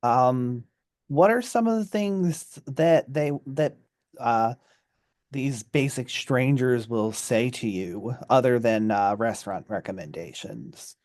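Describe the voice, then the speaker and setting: Slow-talking male radio host. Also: medium pitch, a little mellow, no room sound, studio quality. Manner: halting questions with restarts. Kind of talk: interviewing a guest. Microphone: studio mic.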